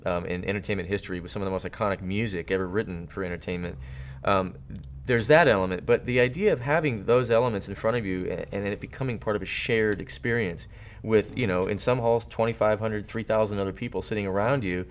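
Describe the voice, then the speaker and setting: Low-voiced earnest man in his thirties. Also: fast talker. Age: 30s. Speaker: interview panelist. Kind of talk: thoughtful explanatory interview talk. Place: indoor studio set.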